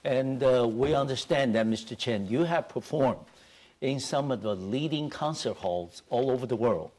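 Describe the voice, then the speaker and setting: A man's voice: medium pitch, normal speed, a little mellow. A middle-aged man hosting a TV interview show in a studio, polite and leaning on "you know" fillers, on a lapel mic. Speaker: TV talk show host.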